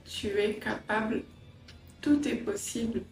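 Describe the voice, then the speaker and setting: A middle-aged woman from Angola and England giving a personal interview, reflective and hesitant, often pausing mid-sentence. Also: a little high. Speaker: interviewee, entrepreneur and language teacher. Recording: roomy echo, distant mic, faint music.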